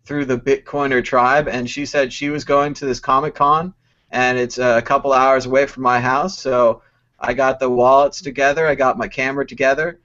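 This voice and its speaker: A man's voice: medium pitch, flat delivery, moderate pace, fairly bright tone, muffled recording. A man hosting a casual live-stream talk show, joking around and laughing often. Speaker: host.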